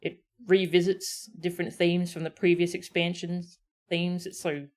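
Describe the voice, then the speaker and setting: A woman's voice: on the low side, level tone, moderate pace, darkish tone. A woman streamer giving casual, enthusiastic reaction commentary on a game. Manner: enthusiastic, admiring asides.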